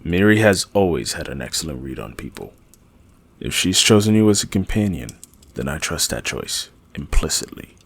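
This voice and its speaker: A man playing a learned, guarded older mage in a fantasy audio drama, speaking formally and deliberately in a gravelly, husky voice. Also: extremely low pitch, very animated, a bit bright, no room sound, heavily acted. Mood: grave, confiding. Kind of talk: fantasy audio roleplay acting.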